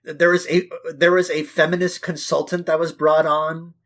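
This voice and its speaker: A man's voice: a little high, little pitch movement, normal speed, fairly bright tone. A man co-hosting a casual film discussion, talking emphatically with plenty of swearing and hyperbole. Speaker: podcast co-host.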